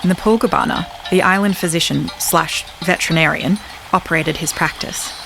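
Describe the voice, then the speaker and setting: A woman's voice: on the low side, a little fast, creaky, noisy background. A woman doing an Australian-accented talking poodle. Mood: deadpan narration. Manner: dry storyteller, groggy and bewildered. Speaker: narrator and dog character. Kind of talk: comedic audio drama narration. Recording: music bed behind the voice.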